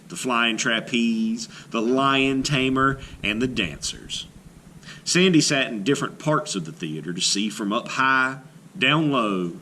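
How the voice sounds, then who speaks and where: Lively man reading a picture book. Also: mid-range voice, a little hiss or hum. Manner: expressive storybook reading, slowing on key phrases. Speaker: teacher reading aloud. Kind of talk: children's read-aloud.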